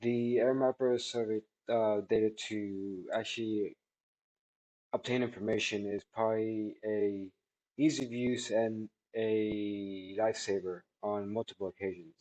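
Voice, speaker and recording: Low, mellow man, halting and measured. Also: steady tone, low-quality recording. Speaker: technology product reviewer. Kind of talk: spoken testimonial answering questions. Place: small indoor office room by a large window.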